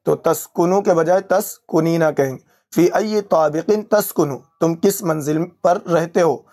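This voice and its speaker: Slow, high-voiced man teaching phrases. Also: mellow. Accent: Urdu-Hindustani. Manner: formal polite Urdu address, spells out each word slowly. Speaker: Arabic language teacher.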